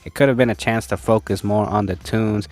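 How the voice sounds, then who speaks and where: A man's voice: steady tone, fairly quick, a little mellow. A man hosting a movie review channel, sounding congested and tired as he recovers from losing his voice, speaking close into a microphone. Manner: low-energy, tired. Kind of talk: casual spoken review. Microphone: speaking close into a mic, voice-over.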